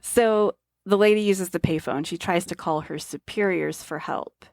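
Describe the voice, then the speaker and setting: A woman's voice: on the low side, normal speed, darkish tone, no room sound. A woman co-hosting a podcast, recapping a movie in casual chat with wry remarks. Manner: chatty, wry remarks, agreeing often. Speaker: podcast co-host.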